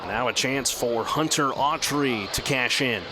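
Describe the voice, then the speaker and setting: A man working as a radio play-by-play announcer, giving live baseball commentary in a measured, descriptive way that lifts with the action. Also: mid-range voice. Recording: clear outdoor ballpark background noise. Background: outdoor stadium ambience.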